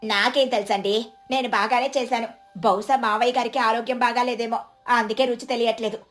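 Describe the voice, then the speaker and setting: A woman's voice: on the high side, steady tone, brisk, soft background music, natural voice. A woman voicing a stingy, deferential village wife who makes excuses in a pleading, apologetic way in a moral story. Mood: defensive. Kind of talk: dramatised Telugu moral story acting.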